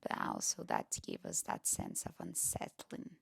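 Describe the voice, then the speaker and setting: A woman leading a guided meditation in a gentle, soft-spoken way, often slipping into near-whisper with pauses. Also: extremely low pitch, huge pitch swings, creaky, slightly rough, no room sound, clean studio sound.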